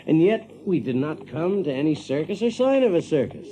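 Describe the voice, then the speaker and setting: Animated, folksy Yankee factory man. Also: a little high, a little fast, slight background noise. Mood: wry, puzzled. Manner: folksy, chuckling, animated, drawling emphasis. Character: brash, practical, folksy Yankee factory man telling his tale. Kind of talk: audio drama first-person storytelling.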